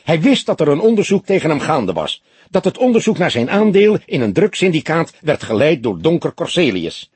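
A man's voice: fairly high pitch, very animated, normal speed, a little mellow, slightly rough, dry recording, pitched above the performer's own voice, exaggerated voice. A man voicing a hot-headed, stubborn young police detective in an audiobook, indignant and insistent. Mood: fierce, insistent. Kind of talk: audiobook character voice.

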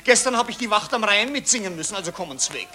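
A fussy journalist. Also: male voice, sits high, expressive, fast, bright, forceful tone, exaggerated voice. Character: fussy male journalist, reluctant and vain. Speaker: newspaper reporter. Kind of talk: radio drama acting.

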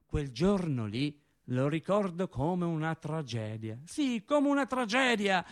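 An actor playing a wry, naive factory painter reminiscing in a radio theatre monologue, colloquial and rambling. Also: male voice, fairly high pitch, a little slow. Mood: rueful, ironic. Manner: colloquial, animated, rambling storyteller. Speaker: stage actor performing a monologue.

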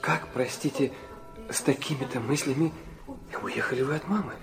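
A man's voice: medium pitch, moderate pace, a bit bright, soft background music, natural voice. An actor playing an earnest, searching young man in a radio drama, questioning his father in a hesitant, probing way. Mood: hurt, tender. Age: young adult.

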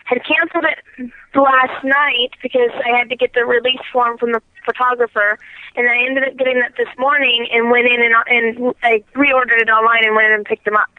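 A woman in a casual phone conversation, speaking in a hesitant, halting way. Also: fairly high pitch, flat delivery, no echo. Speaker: prank call recipient. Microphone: telephone. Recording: thin, narrowband phone line.

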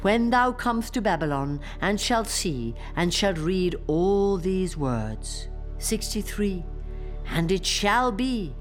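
An actress playing a solemn reader of biblical prophecy, reciting verse by verse in a declamatory radio drama manner. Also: female voice, mid-range voice, big pitch swings, faint music behind. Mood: solemn, urgent. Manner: measured, declamatory verse-by-verse reading. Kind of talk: avant-garde radio drama scripture recitation in English.

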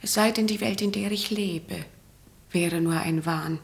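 An actress in a German radio play as an earnest, troubled patient, polite, hesitant and self-doubting. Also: female voice, on the low side, measured pace, gravelly.

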